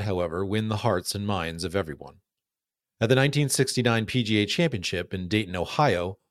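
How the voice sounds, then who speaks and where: Low-voiced man narrating a podcast documentary. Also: no room sound, clean studio sound. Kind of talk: scripted narrative documentary narration with read-out quotes.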